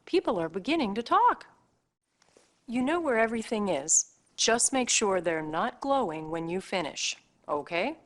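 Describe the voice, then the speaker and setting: An actress playing a brisk hospital staffer in a video game cutscene, instructing and teasing the player in a pointed way. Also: female voice, medium pitch, very animated, natural voice. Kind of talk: acted game cutscene dialogue addressed to the player.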